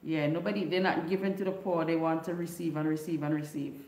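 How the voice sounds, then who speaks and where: A woman's voice: low voice, fairly even intonation, fast talker, darkish tone, roomy sound. A middle-aged woman from Grenada with a Grenadian Creole English accent, hosting a casual livestream chat from her living room and telling stories to her viewers. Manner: chatty, retelling a folk story, reading viewer comments. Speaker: livestream host. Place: home living room with neon lights. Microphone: desk microphone on stand.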